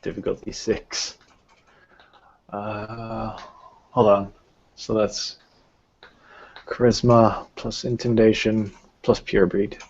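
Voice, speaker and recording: Male game master, lowish voice, leisurely delivery, lo-fi recording. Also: level tone, slow, pauses often, a little mellow. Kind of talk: running a tabletop roleplaying game.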